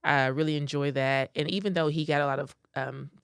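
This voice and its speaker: Deep-voiced woman, playful podcast co-host. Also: steady tone, a little fast, clear, resonant tone, dry recording, clean studio sound. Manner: playful, upbeat storyteller. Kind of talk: casual podcast chat.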